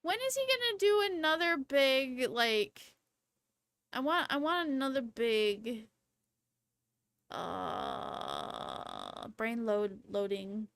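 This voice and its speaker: A woman in her twenties chatting casually on a livestream in a rambling, playful, excitable and slangy way. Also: a little high, takes it slowly, vocal fry, pauses often, clear, resonant tone. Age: 20s. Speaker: livestreamer, VTuber host.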